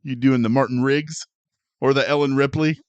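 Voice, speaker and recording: A man co-hosting a film review podcast, trading dry, teasing remarks with his partner. His pitch sits in an ordinary middle range. The recording is close and dry. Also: steady tone, normal speed, smooth, dry recording, muffled recording. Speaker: podcast co-host. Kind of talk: casual film review chat.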